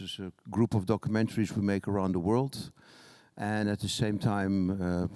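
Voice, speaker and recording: A man's voice: lowish voice, warm tone, clear, resonant tone, no echo. A man, a business founder introducing himself on a panel in a confident, matter-of-fact way.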